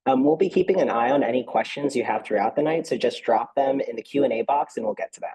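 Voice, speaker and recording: Young adult man, quick and brisk moderator, slightly husky, distant from the mic. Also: medium pitch, over a noisy crowd. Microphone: video call.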